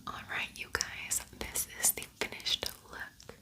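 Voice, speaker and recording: A woman whispering a casual ASMR makeup tutorial in a breathy, unvoiced voice, gently encouraging her viewers. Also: measured pace. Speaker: ASMR video creator and makeup tutorial host.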